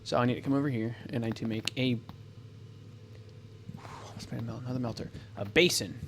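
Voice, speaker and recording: A man's voice: medium pitch, fairly even intonation, brisk, gravelly, noisy background. A man giving casual gameplay commentary, thinking aloud as he goes, often restarting and correcting himself. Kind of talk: casual let's-play commentary.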